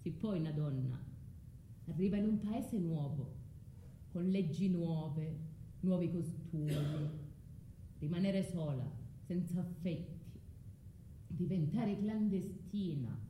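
An actress with a southern Italian accent playing a proud, vengeful, scorned foreign wife in a declamatory radio drama monologue. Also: female voice, fairly low pitch, slow, pauses often, mellow, reverberant, a little hiss or hum, rough recording. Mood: bitter, reflective.

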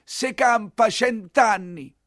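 A man's voice: sits high, slow, a bit bright, no room sound, clean studio sound, pitched above the performer's own voice. A man voicing a scolding, nagging figure with a Roman accent in a theatrical monologue, singsong and reproachful. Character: scolding, nagging voice quoting a proverb at the coachman.

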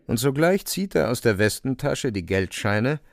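A man's voice: mid-range voice, sing-song, moderate pace, gravelly, no echo, studio quality. A man narrating a literary radio play with vivid, suspenseful storytelling.

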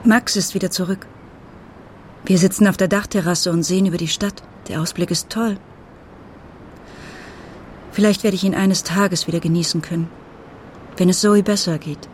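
A woman's voice: on the low side, steady tone, moderate pace, pauses often, a little hiss or hum, lightly acted. A middle-aged woman playing an anxious, tender mother, speaking in a subdued, thoughtful way in a radio drama. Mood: worried, subdued. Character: anxious, tender mother of an ailing teenage girl. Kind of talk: radio drama dialogue and narration.

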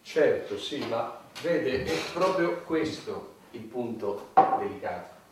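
A man's voice: mid-range voice, sing-song, slow. Pompous statesman in echoey hall. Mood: solemn, fussy. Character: pompous, calculating male head of a warring government. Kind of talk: satirical stage acting. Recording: distant echoey hall, faint noise.

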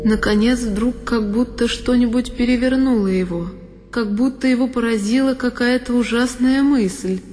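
A woman's voice: a little high, moderate pace, a little mellow. A woman narrating a literary one-woman audio play in an intense, theatrical manner. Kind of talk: dramatic scripted literary narration. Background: music with solo violin.

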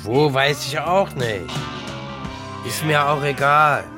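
An actor in a radio play as a drunken, cranky, coarse tenant who rambles and jeers. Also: male voice, a little high, slow, over music. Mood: sullen, rambling. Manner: blunt, coarse, jeering, swinging between barking and muttering. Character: drunken, cranky, rambling jobless male tenant, newly widowed. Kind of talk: radio drama acting.

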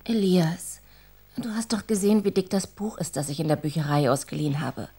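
Busy mother: lowish, husky, matter-of-fact, naturalistic radio drama. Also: a little fast, mellow. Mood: firm.

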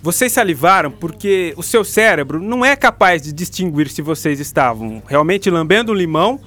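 A man's voice: a little high, animated, normal speed, a bit bright. A man acting in a radio drama as a literature teacher, explaining things informally to a class, with a Brazilian accent. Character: engaging male literature teacher addressing teenage pupils.

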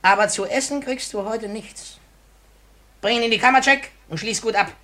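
A man's voice: high-pitched, moderate pace, bright, forceful tone. An actor playing a wheedling, domineering old gang boss in a radio drama, repeating his words slyly. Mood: barking, domineering. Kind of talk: 1950s German radio drama acting. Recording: 1950s radio recording with faint hiss.

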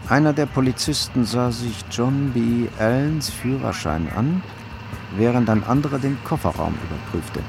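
A man narrating a crime radio play in a sober, measured storytelling manner. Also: mid-range voice, creaky, music in the background.

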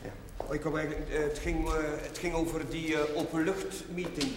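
A modest, amiable chaplain played by a man in a TV drama, hesitant and repeating himself in a slightly high voice. The room is echoey, with clear background noise. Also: steady tone, brisk, close to an everyday voice. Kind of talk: television period drama acting.

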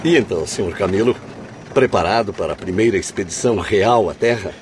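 A man acts a calm, learned male spirit guide in a radio drama. His lowish voice is courteous and reassuring, explaining things formally. Slight ambient noise sits behind him. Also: brisk, natural voice.

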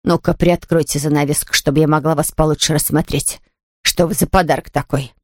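A woman's voice: low-pitched, fairly even intonation, brisk, no echo, clean studio sound. A woman voicing a peevish, self-pitying bedridden woman in an audiobook, grumbling and haughty in a languid way. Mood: scornful surprise. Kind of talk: audiobook character voice.

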